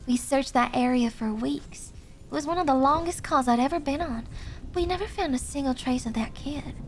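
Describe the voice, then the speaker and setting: A woman playing a search and rescue officer who tells eerie forest stories in a measured, serious way. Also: high-pitched, fairly bright tone, a little hiss or hum, full character voice. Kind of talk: first-person scary story reading.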